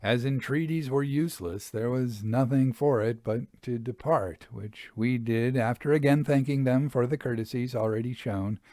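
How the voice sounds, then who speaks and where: Man playing a droll Victorian gentleman ghost hunter. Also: medium pitch, normal speed, few pauses, dry recording, studio quality, close to an everyday voice. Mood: resigned. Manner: formal, droll, theatrically expressive. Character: wistful, genial Victorian gentleman ghost hunter narrating his travels. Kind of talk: dramatic first-person storybook reading.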